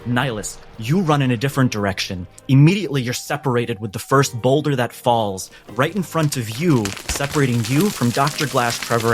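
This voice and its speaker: A man narrating a tabletop roleplaying game as game master, with dramatic, deliberate phrasing. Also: medium pitch. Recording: music behind the voice.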